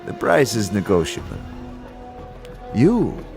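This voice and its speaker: A man playing a sly, menacing Githyanki warrior in a fantasy audio drama, speaking in deliberate, formal, theatrical phrases. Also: lowish voice, huge pitch swings, vocal fry, full character voice. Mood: mysterious, bargaining. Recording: music playing behind the voice.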